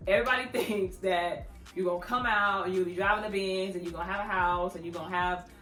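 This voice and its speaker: A woman in her thirties talks straight to camera like she is chatting with friends, playful and quick to laugh. Her voice is bright and forward. The room around her rings with echo, and faint music sits underneath. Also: mid-range voice, steady tone. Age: 30s. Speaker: vlogger, channel host. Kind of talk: casual advice talk to camera.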